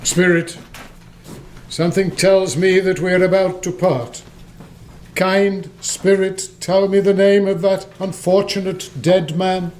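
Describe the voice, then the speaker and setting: Radio drama lead: repentant old miser, high-pitched, stammering, theatrical. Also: male voice, flat delivery, slow, a little mellow. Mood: fearful. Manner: stammering, breathless exclamations. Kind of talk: stage-like radio play acting.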